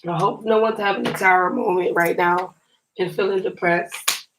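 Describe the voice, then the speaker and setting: A woman with a low, fairly monotone voice that sounds slightly scratchy and raspy today. She reads tarot cards for her viewers in a chatty, encouraging way and often says "you guys." A steady hiss of noise sits under the recording. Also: moderate pace. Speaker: tarot card reader. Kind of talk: casual tarot reading for viewers.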